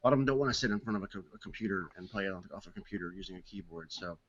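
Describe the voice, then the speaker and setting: A man's voice: sits low, very fast, crowd noise. A man co-hosting a podcast, rambling and restarting as he explains at length. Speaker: podcast co-host. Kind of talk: casual chat. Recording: dull lo-fi audio.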